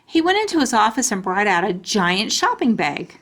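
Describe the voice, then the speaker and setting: A woman storyteller reading a children's book aloud in expressive narration. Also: medium pitch, moderate pace, a little hiss or hum.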